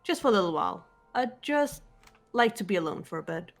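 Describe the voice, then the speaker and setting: A woman's voice: mid-range voice, very animated, faint music behind. Woman voicing a guarded, composed teenage girl detective. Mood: guarded, strained. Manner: measured, reserved, matter-of-fact delivery. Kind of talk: fan voice acting of a visual novel game.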